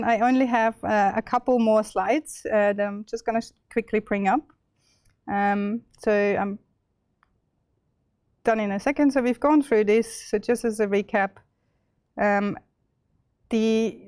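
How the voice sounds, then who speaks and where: Young Austrian woman demoing software live, loose and chatty, dry mic. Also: a little high, clear, resonant tone, no echo. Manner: chatty, many fillers and restarts. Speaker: presenter. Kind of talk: live tech demo walkthrough.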